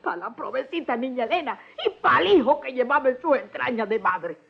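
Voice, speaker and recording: A husky, gravelly older nursemaid, blunt and indignant. Her voice swings widely with folksy melodramatic emphasis. The recording is dull and dated, like vintage soap drama. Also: high-pitched, animated, brisk, heavily acted. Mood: indignant, reproachful. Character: indignant humble older nursemaid confronting a gentleman, devoted and blunt. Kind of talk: old melodramatic radio-soap acting.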